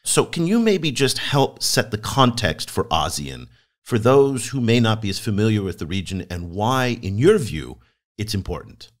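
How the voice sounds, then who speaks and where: A man's voice: on the low side, big pitch swings, normal speed. A man hosting a podcast on world affairs, introducing a guest with enthusiasm and asking interview questions. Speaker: podcast host.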